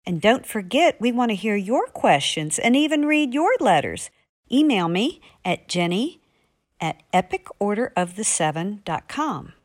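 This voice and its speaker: A woman's voice: mid-range voice, very animated, a little slow. A woman, an author, chatting conversationally as she answers readers' questions. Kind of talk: conversational monologue.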